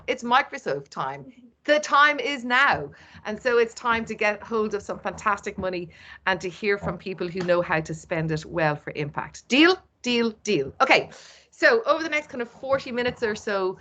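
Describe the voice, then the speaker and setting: An Irish woman with an East Cork accent hosts an online community launch over a video call. She is lively and playful, drawing the audience in with encouragement. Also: a little high. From Ireland. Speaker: host. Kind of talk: upbeat webinar hosting.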